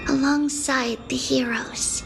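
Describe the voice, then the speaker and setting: An actress voicing a calm, earnest twin sister in a game, speaking gently and steadily over game music. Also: female voice, high-pitched, takes it slowly, gravelly, heavily acted, rough recording. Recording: game soundtrack underneath.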